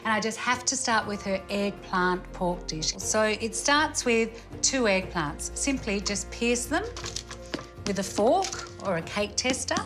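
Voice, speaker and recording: A woman presents a cooking show with informal, enthusiastic chat. Her voice sits at an average pitch for a woman, with prominent music playing underneath. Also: animated, unhurried. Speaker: host, cook.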